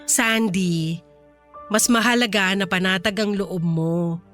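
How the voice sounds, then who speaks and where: A woman's voice: medium pitch, fairly even intonation, slow pace, fairly bright tone, faint music behind. A woman acting a kind, reassuring company boss in a Filipino radio drama, earnest and composed, with a Filipino accent.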